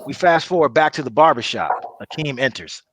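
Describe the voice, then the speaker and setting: A man's voice: medium pitch, animated, brisk, a bit bright, slightly rough, no echo. A man narrating a comedy table read, reading out stage directions in an informal storytelling way.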